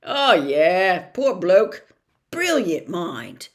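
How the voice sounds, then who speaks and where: A woman's voice: on the low side, sing-song, very slow, full character voice. A woman voicing a huge friendly man who talks in a blunt, hearty, homely way.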